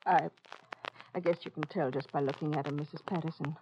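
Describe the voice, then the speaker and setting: Anxious young boy's mother, played by a woman, plain and hesitant, lowish voice, vintage radio play with faint noise. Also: steady tone, close to an everyday voice. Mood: weary, sorrowful. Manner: hesitant, plain-spoken, halting. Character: worn, anxious, loving mother of a sick boy. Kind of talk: 1940s-50s radio drama acting. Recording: muffled, noisy old radio recording.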